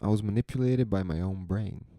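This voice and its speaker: Soft-spoken young man, slow and reflective. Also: on the low side, dry recording, studio quality. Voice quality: soft soothing voice. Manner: slow, introspective, rambling. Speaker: podcast host. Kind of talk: reflective solo monologue. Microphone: close podcast microphone.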